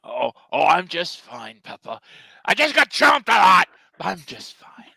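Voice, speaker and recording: Hapless, earnest cartoon hero, voiced by a man: melodramatic, lively swings, dry close sound. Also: high voice, unhurried, creaky, slightly rough, no room sound, full character voice. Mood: woozy, brave. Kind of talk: live dramatic table read of a cartoon.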